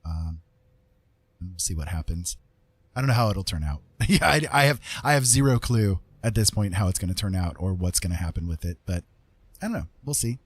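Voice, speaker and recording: A man's voice: low voice, lively intonation, brisk, husky, no echo. A man chatting casually as he works on art as a streamer, rambling and thinking aloud in a hedging way. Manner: laughs often. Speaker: livestream host, digital artist. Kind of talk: casual chat while making art.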